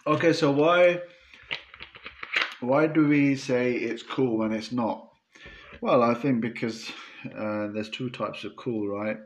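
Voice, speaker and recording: A man's voice: medium pitch, slow pace, long pauses, lo-fi sound, background noise. A man answering questions in a casual chat, hesitant and full of restarts.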